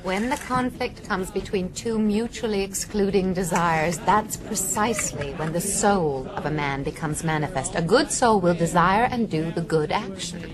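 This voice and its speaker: Woman acting a low-pitched refined lady, music behind. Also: normal speed, few pauses, lightly acted. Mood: earnest, challenging. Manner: articulate, formal. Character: earnest, refined, high-minded lady debater. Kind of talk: radio drama acting.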